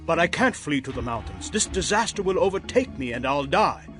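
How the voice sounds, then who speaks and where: A man's voice: a little high, big pitch swings, a little fast, fairly bright tone. An actor playing an anxious, humble family man in a scripture audio drama, earnest and imploring. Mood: fearful pleading. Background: background music.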